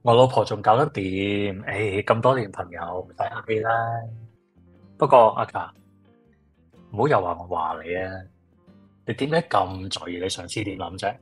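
Blunt, husky Hong Kong Cantonese guy. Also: lowish voice, normal speed, faint music behind. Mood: blunt advice. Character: blunt, teasing male old friend, easygoing. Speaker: radio drama actor. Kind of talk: radio drama dialogue.

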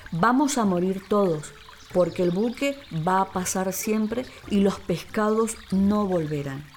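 A woman's voice: mid-range voice, unhurried, slight background noise, natural voice. A woman voicing proud, stubborn caimans in a children's radio story, declaring things plainly. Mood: resigned. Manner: defiant, declaring.

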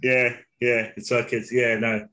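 A man's voice: mid-range voice, little pitch movement, a little slow, bright, forceful tone. A man from Zimbabwe, a podcast guest, chatting easily on a video call, often laughing and restarting his sentences. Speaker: podcast guest, business owner. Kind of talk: casual conversation over a video call.

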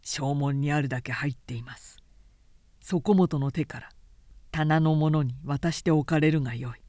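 Woman voicing a stern, low-voiced samurai man. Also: no room sound, lightly acted. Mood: quietly firm. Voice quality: quiet, low. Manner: grave, measured, formal. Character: calm, stern, principled male samurai. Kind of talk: literary audiobook character reading.

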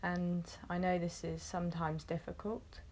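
A woman guiding a meditation, gently instructing in short phrases with long, unhurried pauses. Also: fairly low pitch, completely flat delivery, a little hiss or hum. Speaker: meditation guide. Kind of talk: guided meditation, soothing instruction.

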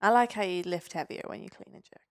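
A woman's voice: medium pitch, fast talker, vocal fry, no room sound, clean studio sound. A woman from Norway chatting informally as a podcast guest, in a casual, chatty way. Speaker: podcast guest, physiotherapist and weightlifter. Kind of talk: casual interview chat.

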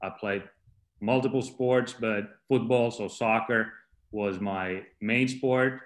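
Low-voiced man in an echoey room. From Finland. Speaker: podcast guest, strength and conditioning coach. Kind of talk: interview answers. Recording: roomy, echoing sound.

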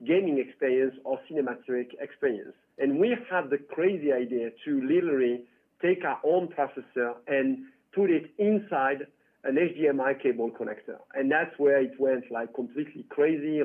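A man from France with a French accent, a tech founder chatting through an interview with lots of "you know". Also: medium pitch. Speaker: tech company founder, guest. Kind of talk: casual interview. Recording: tinny phone-like line.

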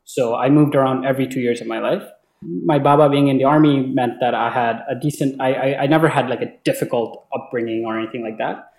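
A man's voice: mid-range voice, a little fast, creaky, darkish tone. A young man in his twenties from Bangladesh, a native Bengali speaker, telling stories casually as an interview guest, often hesitating and repeating words. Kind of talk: casual conversational storytelling. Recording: echoey, roomy sound.

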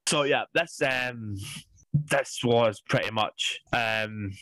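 A man's voice: mid-range voice, slow pace, fairly bright tone, dry recording. A man in his forties co-hosting a film podcast, ranting bluntly and sarcastically with plenty of swearing. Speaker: podcast co-host. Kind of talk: casual chat.

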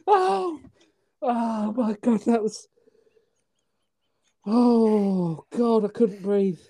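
A woman's voice: a little high, very slow, warm tone. A woman co-hosting a podcast in casual chat, teasing her partner and laughing often. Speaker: podcast co-host.

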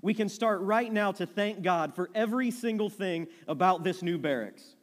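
A man reading aloud the lines of a devout, gentle woman prisoner, earnest and matter-of-fact. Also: high-pitched, normal speed, voice pushed up, lightly acted. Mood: eager. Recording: reverberant hall.

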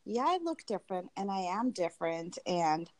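A woman's voice: lowish voice, very animated, normal speed, a little mellow, dry recording. Woman, young adult, from Tanzania, interview guest, reflective, friendly, dry sound. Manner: reflective, friendly, thinks aloud with many fillers. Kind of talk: candid personal interview.

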